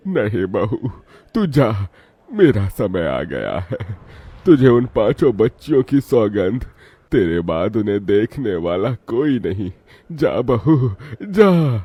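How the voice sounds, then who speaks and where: A man voicing a frail, emotional old grandfather with a drawn-out, emphatic delivery in a cartoon story. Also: fairly low pitch, sing-song, mellow, husky, no echo, full character voice. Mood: weak, pleading. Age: older. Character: sick old grandfather, frail, scolding, grieving, tender to daughter-in-law.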